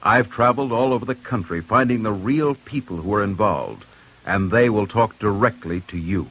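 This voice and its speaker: An American man hosting an old-time radio crime program, narrating from a script in a grave, deliberate way. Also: fairly low pitch, a bit bright, husky, poor audio. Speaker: radio host, investigator. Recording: old radio broadcast, faint music.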